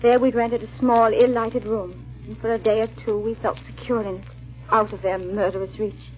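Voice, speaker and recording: A woman's voice: a little high, steady tone, moderate pace, soft background music, natural voice. A woman playing a hunted, anxious young wife who recounts her escape in a 1940s radio drama, on a muffled old broadcast recording. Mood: grave, recounting.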